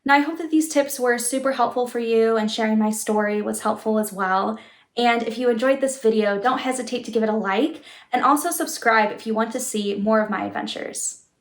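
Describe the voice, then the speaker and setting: A woman's voice: fairly high pitch, fairly quick. A young adult woman giving friendly advice in a vlog, speaking in an encouraging, reassuring way. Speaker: vlogger giving application advice. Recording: roomy, echoing room sound.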